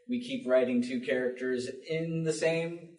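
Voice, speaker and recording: A man's voice: mid-range voice, measured pace, a little mellow, recorded from a distance. A man chatting casually as a panelist who makes an audio drama podcast. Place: public library panel room. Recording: roomy library panel, off-mic.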